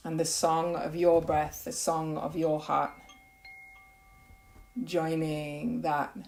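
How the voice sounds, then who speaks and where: A woman yoga nidra teacher leading a guided meditation in a gentle, unhurried, soothing way with long pauses. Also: deep voice, monotone, vocal fry, low-quality recording.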